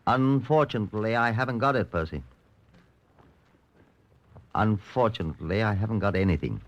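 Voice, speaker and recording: An actor playing a dry, determined chief inspector who narrates a vintage radio police drama in measured, understated tones. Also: male voice, lowish voice, close to an everyday voice. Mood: grim, determined. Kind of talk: golden age radio police drama acting. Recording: dull, lo-fi old radio recording.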